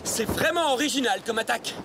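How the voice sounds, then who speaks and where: Playful masked cartoon hero, cheeky mock-polite swordsman, super high bouncy voice. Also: expressive, brisk, over loud music. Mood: teasing, playful. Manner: mocking, mock-polite, theatrical. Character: playful, cocky young masked swashbuckling hero. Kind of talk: animated adventure cartoon dubbing.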